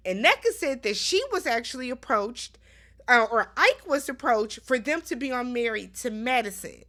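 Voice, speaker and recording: A woman's voice: a little high, sharp tone. A woman giving casual, chatty recap commentary as a host, emphatic and opinionated, often addressing her listeners directly.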